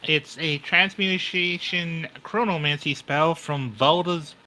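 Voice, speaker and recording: A man talking as a player in a tabletop roleplaying game, explaining his move in a halting, thinking-aloud way. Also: a little high, fairly even intonation, sharp tone, low-quality recording.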